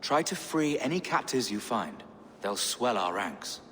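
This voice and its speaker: An actor playing a weary, grieving English bishop in a video game, speaking gravely and with lament. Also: male voice, on the low side, very animated, unhurried, a bit bright, long reverb tail. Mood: grave counsel. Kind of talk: dramatic video game acting.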